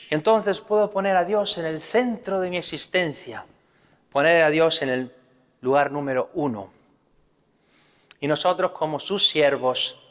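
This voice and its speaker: Spanish priest preaching in a reverberant hall. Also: male voice, on the high side, a bit bright. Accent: Spanish (Spain). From Spain. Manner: earnest teaching tone with rhetorical questions. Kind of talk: sermon.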